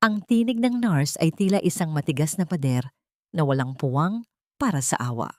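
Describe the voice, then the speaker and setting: A woman's voice: sits low, expressive, moderate pace, darkish tone, dry recording, studio quality. A woman narrating a scripted dramatic story as a storyteller.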